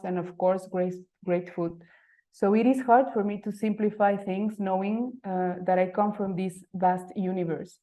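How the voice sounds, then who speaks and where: A woman's voice: medium pitch, dark tone. Mexican woman speaking hesitant English. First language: Spanish. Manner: hesitant, frequent fillers and restarts, non-native phrasing. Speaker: type designer giving a talk. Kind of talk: conference presentation. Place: lecture venue.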